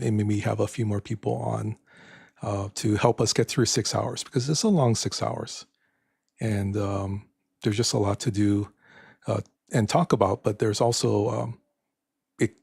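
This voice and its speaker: Older man, raspy and husky, soft-spoken, halting, stream host. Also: mid-range voice, no room sound, clean studio sound. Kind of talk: casual live-stream chat.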